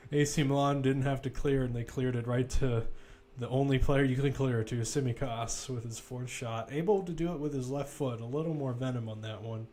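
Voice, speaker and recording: A man's voice: mid-range voice, a little fast, hardly any pauses, warm tone. A man giving casual, conversational live play-by-play commentary on a football match, with wry asides.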